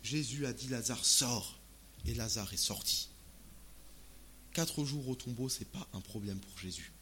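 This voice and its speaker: Male preacher, medium pitch, informal and expressive sermon delivery, live recording with light background noise. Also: a little slow. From Alsace, France. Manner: expressive, uses rhetorical questions and acted-out asides. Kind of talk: sermon in conversational, colloquial language.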